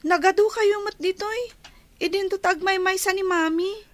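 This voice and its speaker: A woman's voice: very high voice, fairly even intonation, moderate pace, dry recording. A woman with an Ilocano accent playing a devoted, anxious young daughter in a radio drama, earnest and pleading. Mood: worried. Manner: earnest, pleading, respectful to elders.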